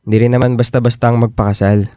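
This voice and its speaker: An actor as an earnest, insecure Filipino boyfriend. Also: male voice, mid-range voice, flat delivery, fast, mellow, clean tone, no echo, clean studio sound, lightly acted. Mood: subdued, pleading. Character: earnest, insecure young boyfriend pleading for commitment. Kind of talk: Filipino radio drama acting.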